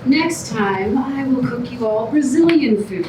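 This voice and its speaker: Sunny woman contestant, acted, lilting high. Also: lively intonation, unhurried. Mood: sweetly defiant. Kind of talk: staged musical comedy reading. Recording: echoey, noisy stage from far off.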